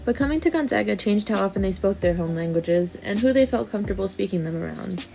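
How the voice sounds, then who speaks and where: A young woman student reading a scripted narration in an even voice. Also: mid-range voice, fast, creaky, faint music behind.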